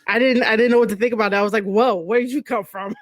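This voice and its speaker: A woman hosting a wrestling review podcast in relaxed, casual chat, with lots of fillers. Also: a little high, rapid-fire, no room sound. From Puerto Rican heritage. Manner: relaxed, filler-heavy, easygoing. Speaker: podcast host.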